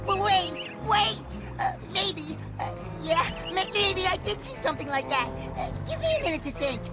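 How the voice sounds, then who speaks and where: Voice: very high voice, expressive, moderate pace. A performer voicing a cornered, whiny boy pleading desperately in a cartoon video game. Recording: loud game music behind.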